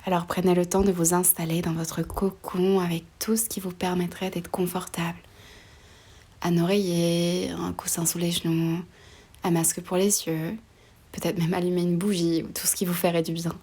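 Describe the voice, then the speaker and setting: A woman guiding a relaxation meditation in a soft, breathy voice often near a whisper, slow and soothing, with pauses between short phrases. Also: fairly low pitch, little pitch movement. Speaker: yoga nidra meditation guide.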